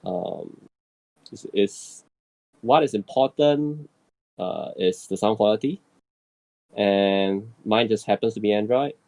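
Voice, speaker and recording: A male panelist with a low, gravelly voice talks casually. He often doubles and triples small words as he works toward his point. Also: slow pace, long pauses, a little mellow, low-quality recording. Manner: stammering repetition of words. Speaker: podcast panelist. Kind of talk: casual chat.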